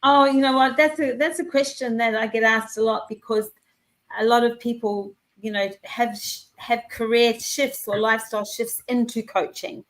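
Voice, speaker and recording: A confident woman, talking on a video call. Her voice sits a little high, and she speaks in long, energetic runs with quick restarts, sure of her expertise. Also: low-quality recording. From New Zealand. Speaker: wellness and business coach. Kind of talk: conversational interview.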